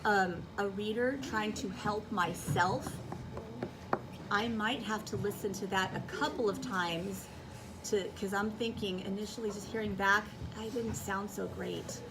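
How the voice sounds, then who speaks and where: A woman's voice: mid-range voice, fairly even intonation, unhurried. Woman teacher demonstrating in a noisy classroom. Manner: instructive, thinking aloud step by step. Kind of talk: classroom demonstration, talking to children. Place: classroom full of children. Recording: noisy classroom, distant microphone. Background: room noise, children's voices.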